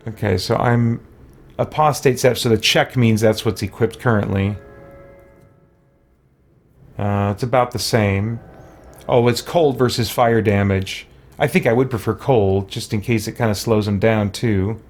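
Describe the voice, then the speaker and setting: A man's voice: lowish voice, steady tone, measured pace, darkish tone. A man giving casual gameplay commentary, musing and talking to himself as he goes. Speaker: gaming let's-play host.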